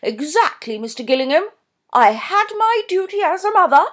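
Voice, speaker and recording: A woman voicing a fussy, emphatic middle-aged mother with insistent, high-pitched exclamations. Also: very animated, moderate pace.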